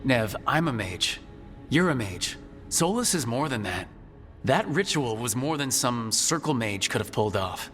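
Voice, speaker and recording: In a long, reverberant space with music underneath, a composed mage hero. He speaks at a middle pitch, measured and serious, like a resolute leader in game dialogue. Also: very animated, a bit bright. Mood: grave, insistent. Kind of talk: video game dialogue acting.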